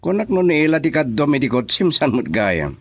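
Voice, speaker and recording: A man's voice: on the high side, very animated, brisk, no echo. A man with an Ilocano accent playing a chatty, teasing man in a radio drama. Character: casual teasing man named Cardo.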